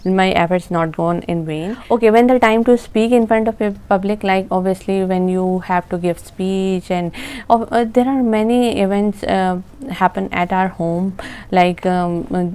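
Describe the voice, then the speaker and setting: A young woman with an Urdu accent, a native Urdu speaker, giving a casual spoken lesson in a halting way, often searching for words. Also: medium pitch, fairly even intonation, a little mellow, clear, resonant tone. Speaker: English-speaking tips presenter.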